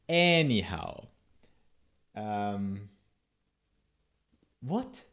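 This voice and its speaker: A man's voice: lowish voice, huge pitch swings, very slow, a bit bright. Chatty man with a German accent. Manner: rambling, self-interrupting, answers chat with asides. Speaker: live coding streamer. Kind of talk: casual live coding stream, reading chat.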